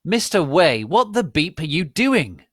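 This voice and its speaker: A man voicing officious school staff in a comic story reading, shrill and indignant. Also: big pitch swings, unhurried, slightly rough, dry recording, studio quality, exaggerated voice. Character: angry, officious female school official, shouting.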